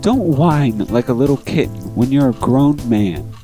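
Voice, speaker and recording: Casting: man as composed, aloof teen boy with a cutting tongue; medium pitch, anime radio drama with music. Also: animated, a little slow, dark tone, close to an everyday voice. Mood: curt, scolding. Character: cool, reserved, sharp-tongued teenage boy. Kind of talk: amateur anime-style radio drama acting.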